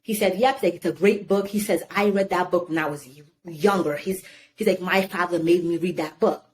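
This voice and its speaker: A woman's voice: lowish voice, brisk. A woman in her thirties, born in Haiti, telling stories animatedly in a casual interview and leaning often on "you know". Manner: animated storytelling, many "you know" fillers. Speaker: guest.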